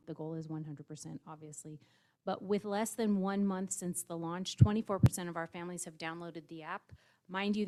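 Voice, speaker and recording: A woman's voice: lowish voice, a little mellow. A woman giving an upbeat staff report at a school board meeting, with fillers and a light laugh now and then. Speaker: district staff presenter.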